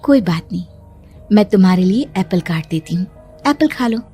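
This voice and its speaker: A woman voicing a sweetly insistent, unsettling wife in a horror cartoon story, with a deliberate, uncanny manner. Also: medium pitch, animated, warm tone, faint music behind, lightly acted. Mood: eerie, insistent.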